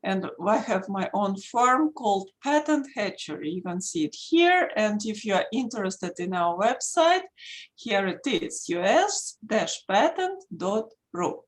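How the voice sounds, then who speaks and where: A woman with a Russian accent, a native Russian speaker, giving an earnest presentation as a patent attorney over a video call, pausing often with fillers. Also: mid-range voice, big pitch swings. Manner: earnest, emphatic, pauses with many fillers. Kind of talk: online presentation.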